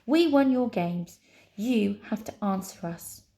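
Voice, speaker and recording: A woman voicing a brave, defiant girl heroine in a storybook, with urgent, shouted outbursts. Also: medium pitch, moderate pace, vocal fry, natural voice.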